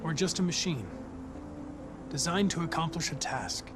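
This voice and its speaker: Brief: male actor as a polite, controlled android cop, slightly high voice, game score underneath. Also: normal speed, natural voice. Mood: calm, composed. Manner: even, polite, composed. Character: calm young-looking male android detective. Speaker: police investigator partner. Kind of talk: video game cutscene acting. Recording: game score music behind. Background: music.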